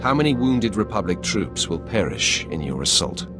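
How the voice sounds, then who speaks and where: An actor playing a stern, commanding, ruthless imperial warrior in a video game, speaking with measured authority. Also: male voice, fairly low pitch, sing-song. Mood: skeptical. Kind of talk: scripted video game acting. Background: background music.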